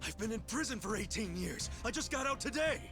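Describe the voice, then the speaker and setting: Defiant ex-yakuza, foul-mouthed, high voice, swinging intonation, game dub, music behind. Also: male voice, lively intonation, a little fast. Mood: insistent, threatening. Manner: brash, foul-mouthed, emphatic. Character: hot-headed, defiant ex-yakuza man fresh from prison. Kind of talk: English video game dub acting.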